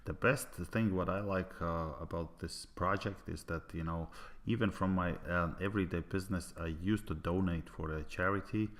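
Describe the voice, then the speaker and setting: A man's voice: low voice, fairly even intonation, normal speed, a bit bright. A middle-aged man whose native language is Latvian, speaking English with a Latvian accent, giving an online business presentation, hesitant with many fillers and restarts.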